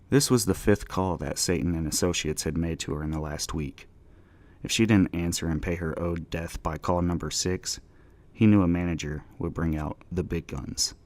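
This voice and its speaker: A man's voice: low-pitched, a little mellow. A man narrating fiction as a dramatic scripted audiobook reading.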